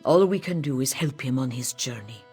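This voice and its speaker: A woman's voice: a bass voice, animated, moderate pace, a little mellow, breathy edge, soft background music, heavily acted. A woman playing a solemn, devout cat-folk priestess in a fantasy game, speaking earnestly. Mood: solemn, urgent. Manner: speaks of herself in third person, earnest. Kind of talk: scripted fantasy game dialogue.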